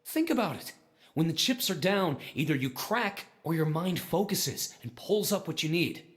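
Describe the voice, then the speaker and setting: An actor playing an earnest man who muses and explains deliberately in visual novel game dialogue. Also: on the high side, expressive, a bit bright. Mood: thoughtful, emphatic.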